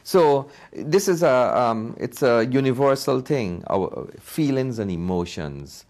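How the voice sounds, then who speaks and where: A man's voice: medium pitch, lively intonation, slow, a little mellow. A man being interviewed as a filmmaker, answering earnestly and conversationally, repeating phrases for emphasis. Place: TV studio.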